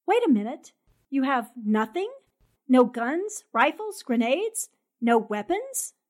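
A woman acts out a bold newspaperwoman in an old radio drama. Her high voice is very lively in pitch, assertive and insistent, firing out questions. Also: slow pace, full character voice. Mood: probing, assured. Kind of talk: 1940s radio drama acting.

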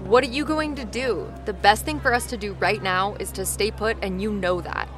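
A woman's voice: mid-range voice, moderate pace, close to an everyday voice. Woman acting a level-headed survivor, music behind. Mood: firm, cautious. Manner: firm, sensible. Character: level-headed cautious female survivor, calmly reasoning. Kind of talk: audio drama acting.

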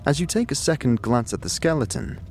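A man narrating a scripted second-person audio drama in a deliberate storytelling manner. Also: mid-range voice, expressive, darkish tone. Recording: music behind the voice. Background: background music.

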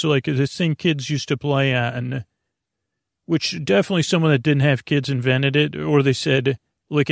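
A man hosting a bedtime podcast in a rambling, halting monologue, over-explaining and trailing off with a creaky, gravelly voice. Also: medium pitch, dry recording, clean studio sound. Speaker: podcast host.

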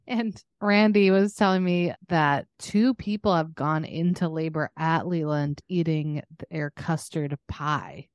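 Casting: female podcast co-host, lowish voice, informal and chatty, very dry close sound. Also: slow pace, no echo, lo-fi sound. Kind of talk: casual chat.

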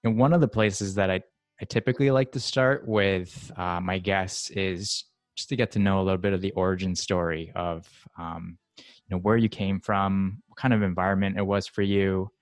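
A man hosting a podcast, reading a measured intro and then asking questions with occasional ums. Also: deep voice, fairly even intonation. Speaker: podcast host.

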